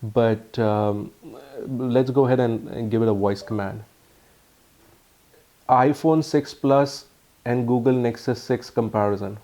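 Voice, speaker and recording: A man with an Indian accent giving a casual, halting phone review full of ums and uhs. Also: medium pitch, fairly even intonation, mellow. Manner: earnest, explanatory. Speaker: tech reviewer.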